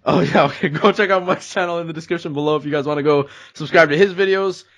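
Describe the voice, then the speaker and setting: A man's voice: a little high, quick pace, a bit bright. A young man hosting a commentary show, chatting casually with a guest. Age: young adult. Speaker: host of a commentary video series. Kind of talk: casual chat.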